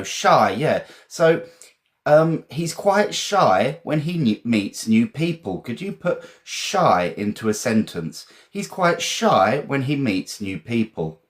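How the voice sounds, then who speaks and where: A man's voice: mid-range voice, sing-song, takes it slowly. A man from England with an English accent, teaching a casual live vocabulary lesson in a chatty, encouraging, informal way and often repeating phrases.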